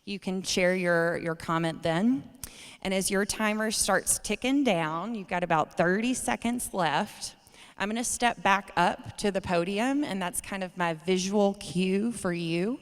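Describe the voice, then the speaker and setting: A woman at a podium microphone moderates a public town hall. Her voice sits low and comes through smooth and clean. She speaks in a courteous, measured way with small fillers, and a long reverb trails each phrase. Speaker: state education official hosting a town hall. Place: large meeting room with a podium.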